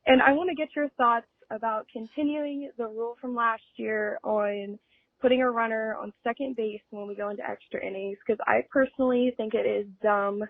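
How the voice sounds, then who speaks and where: A woman's voice: fairly high pitch, steady tone, normal speed, dry recording. A woman from Ohio with an American accent leaving a casual voicemail as a baseball fan, blunt, opinionated and slangy. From Ohio, United States. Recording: tinny narrowband phone voicemail.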